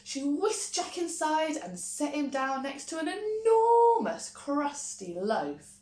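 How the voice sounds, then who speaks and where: A woman reading a children's picture book expressively, with dramatic pauses and little asides. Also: sits high, sing-song, a little mellow. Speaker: primary school story reader. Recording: roomy echo, faint background noise.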